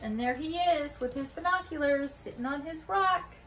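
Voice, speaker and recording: A woman reading a children's picture book aloud expressively, pausing to put questions to young listeners. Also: high-pitched, a bit bright, slight background noise. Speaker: read-aloud storyteller.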